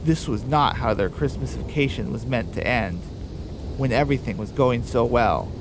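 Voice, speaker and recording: A man narrating a scripted radio drama in a deliberate storytelling delivery. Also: mid-range voice, noisy background.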